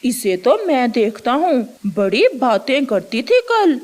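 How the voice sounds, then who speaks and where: A woman voicing a blustering male bird in a children's cartoon, emphatic and expressive. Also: on the high side, takes it slowly. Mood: stern. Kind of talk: Hindi children's cartoon character voice.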